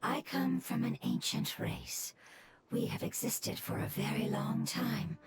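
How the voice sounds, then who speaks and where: A woman voicing an ancient fungus-like creature from a game, speaking in a breathy, solemn whisper. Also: medium pitch, huge pitch swings, unhurried, heavy background noise. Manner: solemn, storytelling. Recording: loud game music behind.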